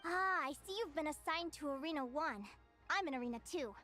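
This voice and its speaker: Very high, breezy young rival. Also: female voice, animated, fairly quick. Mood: breezy, teasing. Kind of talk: video game voice acting. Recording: loud game music behind the voice.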